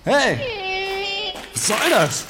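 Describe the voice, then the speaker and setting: An actor playing a simple car mechanic who speaks in short phrases in a radio drama. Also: male voice, sits high, sing-song, extremely slow, background noise, full character voice. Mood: indignant. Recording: guitar music behind the voice.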